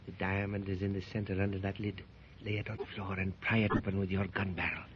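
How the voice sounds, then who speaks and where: A man's voice: sits low, little pitch movement, quick pace, natural voice. An actor playing a cold, commanding man who gives curt orders, in a 1930s radio drama. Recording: dull lo-fi radio transcription with hiss.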